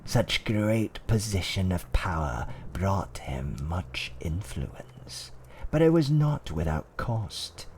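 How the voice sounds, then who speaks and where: A man narrating a dark fantasy tale in a hushed, theatrical, deliberate ASMR storytelling style. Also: sits low, big pitch swings, a little hiss or hum. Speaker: storyteller in a roleplay audio.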